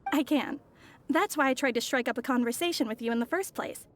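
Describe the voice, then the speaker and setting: An actress playing a poised, mysterious foreign woman traveler in a video game, soft-spoken and courteous. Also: sits high, fairly quick, a little hiss or hum, natural voice.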